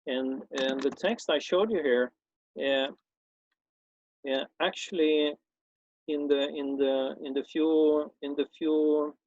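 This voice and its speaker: Halting Swedish-accented man, dull lo-fi sound. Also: on the high side, steady tone, a little mellow, no room sound. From Sweden. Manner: hesitant, halting with many fillers and repeated restarts. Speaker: former schoolteacher presenting a talk. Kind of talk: informal explanatory talk on old texts.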